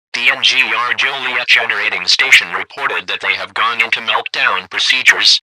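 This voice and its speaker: A man reading a scripted emergency broadcast announcement in a formal, measured, impersonal synthetic voice. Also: lowish voice, bright, forceful tone, no echo, poor audio.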